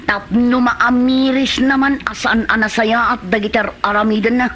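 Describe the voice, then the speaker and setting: An actress as a high-pitched Ilocano village woman. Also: a bit bright, lo-fi sound, a little hiss or hum. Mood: combative. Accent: Filipino, Ilocano. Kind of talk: Ilocano radio comedy drama acting.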